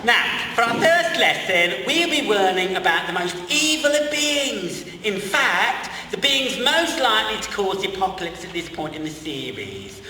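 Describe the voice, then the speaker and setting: Young man playing a flamboyant, high-pitched flustered teacher in echoey hall. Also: moderate pace, hardly any pauses, heavily acted. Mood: giggly, excited. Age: young adult. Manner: excitable, gushing, stammering. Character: flustered, theatrical, giggly male magic-school teacher. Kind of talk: comedic radio play acting. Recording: echoey hall from far off, faint noise.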